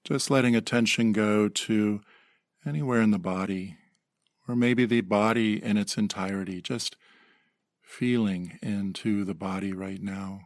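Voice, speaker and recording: Male meditation guide, lowish pitch with vocal fry, gentle delivery with pauses. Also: steady tone, no room sound, studio quality. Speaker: meditation teacher.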